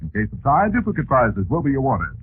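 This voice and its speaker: A man's voice: mid-range voice, huge pitch swings, fairly quick, soft background music. A man with an American accent reading scripted advertising as a 1940s radio announcer. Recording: tinny, hissy 1940s radio recording.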